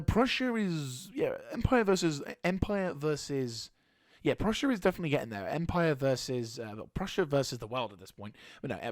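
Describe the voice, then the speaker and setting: A man with a slightly hoarse throat giving a casual channel update as a gaming YouTuber, rambling and halting with frequent restarts. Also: mid-range voice, dry recording, clean studio sound.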